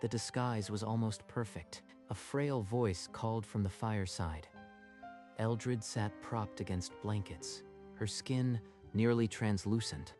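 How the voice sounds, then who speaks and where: A man's voice: on the low side, a little slow. A man reading dramatic scripted story narration as the narrator. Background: background music.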